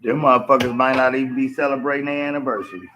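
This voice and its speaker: A man with a hoarse voice from yelling, ranting bluntly to camera about a boxing match and swearing freely. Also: medium pitch, steady tone, racing, faint music behind. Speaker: vlogger reacting to a boxing match. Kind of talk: casual rant to camera.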